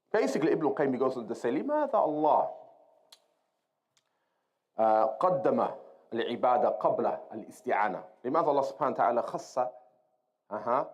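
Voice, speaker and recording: A man gives an Islamic lesson in a mid-pitched voice. He speaks as a teacher to students, moving between explanation and quoted Arabic terms. He repeats key phrases and checks that his listeners follow. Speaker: religious teacher.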